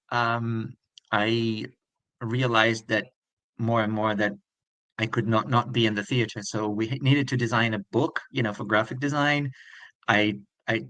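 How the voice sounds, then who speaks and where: A middle-aged man from Brazil with Portuguese as his first language. His bright, forward voice sits at an ordinary pitch. The sound is dry and close, and he thinks aloud as he tells his life story in an interview. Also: dry recording, poor audio. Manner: reflective, thinks aloud with frequent restarts. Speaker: guest.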